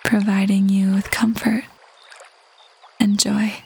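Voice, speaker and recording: Very slow, soft-voiced woman guiding meditation. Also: mid-range voice, slight background noise. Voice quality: soft, breathy, half-whispered. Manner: gentle, calm, unhurried with long pauses. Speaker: meditation guide. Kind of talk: guided meditation, slow soothing narration. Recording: voice over prominent background music. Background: meditation music.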